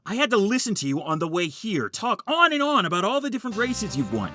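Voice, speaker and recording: A man playing a testy, impatient hero in an animated comedy, snappy and irritated. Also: high-pitched, quick pace, music in the background.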